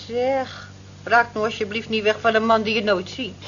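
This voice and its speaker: A woman's voice: fairly high pitch, fairly even intonation, moderate pace, lightly acted. An actress in an old radio play voicing a worldly-wise, teasing cleaning woman with a dry, scoffing, gossipy manner. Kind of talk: vintage radio play acting. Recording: dull, hissy old radio play recording.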